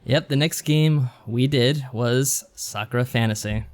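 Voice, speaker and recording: A man's voice: medium pitch, measured pace, a little mellow. A man co-hosting a podcast, chatting casually as he reviews games. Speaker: podcast co-host. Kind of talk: casual chat reviewing visual novels.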